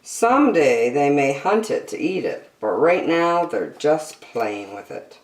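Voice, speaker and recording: A woman's voice: low-pitched, sing-song, measured pace, roomy sound. A woman hosting story time, reading a picture book aloud to young children expressively, with chatty asides and questions.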